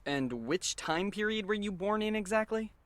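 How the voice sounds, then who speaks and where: A woman voicing a sarcastic, long-suffering high school boy who comments dryly on events in an anime fan dub. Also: lively intonation, normal speed, lightly acted. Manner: dry, sarcastic, exasperated. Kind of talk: anime fan dub acting and inner monologue. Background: loud music.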